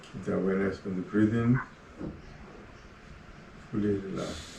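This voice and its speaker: Guy with a gravelly voice talking people through a body-scan meditation, slow calm cues, kinda distant mic, faint hum behind. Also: low voice. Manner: slow, soft, calm step-by-step cues with long pauses. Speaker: meditation guide. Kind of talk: guided relaxation instruction. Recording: roomy echo, voice far from the mic, faint ambient hum.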